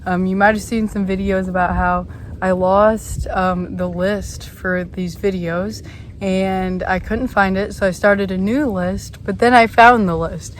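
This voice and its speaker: A young woman chatting casually to a camera set on a chair outdoors, rambling with lots of ums and apologies, her throat still a little rough after a cold. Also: medium pitch, steady tone, a little slow. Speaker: vlogger. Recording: outdoor noise, audible vehicles. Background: outdoor ambience with passing vehicles.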